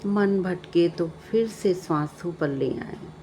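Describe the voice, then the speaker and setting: A woman narrating a guided meditation, giving calm, measured instructions with long pauses. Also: lowish voice, slight background noise, low-quality recording. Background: background music.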